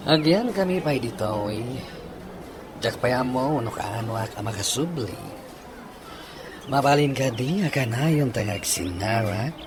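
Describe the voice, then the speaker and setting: A man's voice: mid-range voice, expressive, a little slow, pauses often, lightly acted. Noisy recording, heartsick young Ilocano man acted. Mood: earnest, welcoming. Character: heartbroken young man pining after a woman. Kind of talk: Ilocano radio drama acting.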